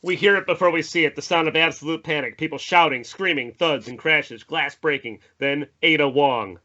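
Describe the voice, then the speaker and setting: A man's voice: fairly high pitch, normal speed, bright, forceful tone, muffled recording. A man reading stage directions as narrator in a scripted podcast table read.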